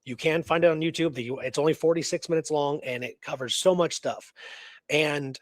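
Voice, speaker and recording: A man co-hosting a show, casually discussing a historical mystery in a halting, rambling way that repeats words. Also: a little high, dry recording, studio quality. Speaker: co-host of a radio history show.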